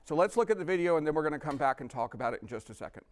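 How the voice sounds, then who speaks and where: A man's voice: a little high, animated, racing. A man hosting a live fundraiser as emcee, speaking in an emphatic, effusive way with speech-like phrasing. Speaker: event emcee.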